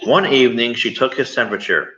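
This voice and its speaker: A man reading a storybook aloud, pausing to explain things and ask questions as he goes. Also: mid-range voice, pushed and bright. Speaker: read-aloud storyteller to children. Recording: slightly muffled, echoey room.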